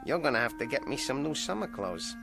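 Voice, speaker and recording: A man voicing a sour, wisecracking male ventriloquist dummy who needles his partner in a gruff, sarcastic way. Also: mid-range voice, very animated, fairly quick, over music.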